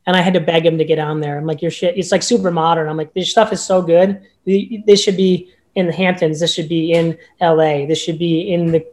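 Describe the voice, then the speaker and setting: A man with a notably high-pitched voice, a real estate agent speaking as a podcast guest in an upbeat, emphatic way. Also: little pitch movement, fairly quick, few pauses, darkish tone. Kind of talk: casual podcast interview.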